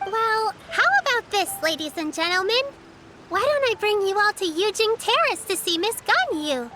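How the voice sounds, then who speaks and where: A woman's voice: very high voice, background noise. A woman voicing an eager, helpful little girl in a video game, speaking with earnest, polite, childlike care. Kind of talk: video game character acting.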